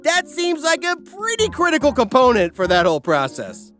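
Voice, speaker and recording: A man's voice: very high-pitched, huge pitch swings, normal speed, a bit bright. A man narrating a scripted comedic recap in a theatrical, crude, sardonic way. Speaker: narrator and host. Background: background music.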